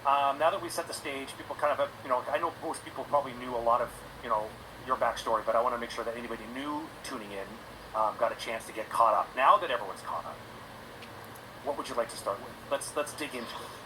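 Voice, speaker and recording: A man's voice: medium pitch, fairly even intonation, fast, noisy background. A man hosting a stream interview, asking rambling, chatty questions. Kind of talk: casual interview talk.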